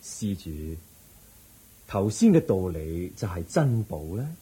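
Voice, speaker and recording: An actor playing a serene, solemn Buddha who preaches calmly in a Cantonese radio drama, with a Hong Kong Cantonese accent. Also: male voice, fairly low pitch, breathy edge, exaggerated voice, poor audio. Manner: measured, calm, didactic.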